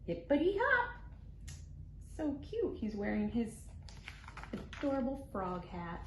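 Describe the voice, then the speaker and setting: A woman's voice: mid-range voice, lively intonation, measured pace, warm tone. A woman hosting children's storytime, reading a picture book expressively in simple phrases aimed at small children. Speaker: children's library storytime host. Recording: echoey room, background noise.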